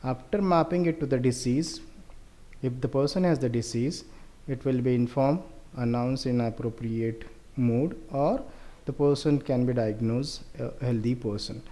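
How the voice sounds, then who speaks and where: A man with an Indian accent presenting a research project in an explanatory way, with halting, deliberate phrasing. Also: medium pitch, warm tone.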